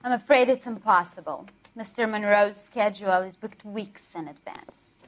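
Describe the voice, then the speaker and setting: An actress as a prim, frosty secretary. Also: female voice, mid-range voice, normal speed, lightly acted, low-quality recording. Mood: politely dismissive. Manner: polite, formal, frosty. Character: prim, guarded, haughty female secretary. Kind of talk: dramatic video game acting.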